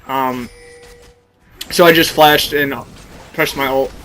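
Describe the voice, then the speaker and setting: A young man narrates his game in a relaxed, teaching way, stopping to explain his choices. His voice sits slightly high for a man and sounds thick and stuffy, with a scratchy throat from a cold. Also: slow pace, soft background music. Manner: explanatory, coaching tone with many fillers. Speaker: gameplay commentator. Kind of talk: casual game commentary.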